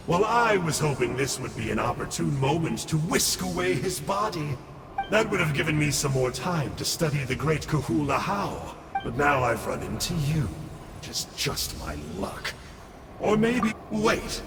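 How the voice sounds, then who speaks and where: A man's voice: fairly high pitch, very animated, measured pace, gravelly, heavily acted. A man voicing a smug, theatrical villain scholar in a video game, flamboyant, wordy and mock-dramatic. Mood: musing, sardonic. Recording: long reverb, music behind.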